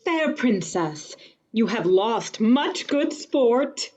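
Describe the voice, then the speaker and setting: A woman's voice: a little high, sing-song, very slow, darkish tone, full character voice. A woman playing an affected courtier bearing news in a Shakespearean radio play. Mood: affected, courtly.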